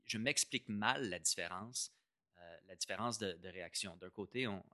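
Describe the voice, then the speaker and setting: Québécois man, low voice with a bright edge; casual explainer who restarts phrases and drops in English words. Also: moderate pace, no room sound, studio quality. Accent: Québécois French. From Quebec, Canada. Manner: explanatory, repeats words while thinking, mixes in English terms. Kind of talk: casual policy discussion.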